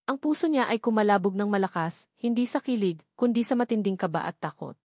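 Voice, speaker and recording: A woman's voice: medium pitch, lively intonation, brisk, a little mellow, dry recording. Dry-sounding woman narrating a story. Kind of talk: scripted dramatic narration.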